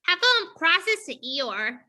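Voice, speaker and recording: Casting: female stage-directions reader, piercingly bright and lively scripted narration. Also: very high-pitched, a little slow.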